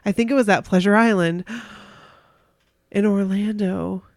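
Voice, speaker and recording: A woman with a mid-range voice co-hosts a casual podcast. She moves between reading stories and chatting, with a giggly, excitable manner. The sound is close and dry. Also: mid-range voice, measured pace, no echo. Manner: giggly, gushing, reacts excitedly. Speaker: podcast co-host. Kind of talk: casual chat, reading listener stories aloud.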